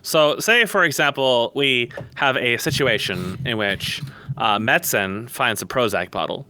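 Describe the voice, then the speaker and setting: Guy ranting on a podcast, bright clear voice, rambly, sweary and sardonic, dry close sound. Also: medium pitch, expressive, a little slow, no room sound. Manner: rambling, blunt, profane. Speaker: podcast guest. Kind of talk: casual opinionated podcast discussion. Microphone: close mic on voice chat. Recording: voice call over internet.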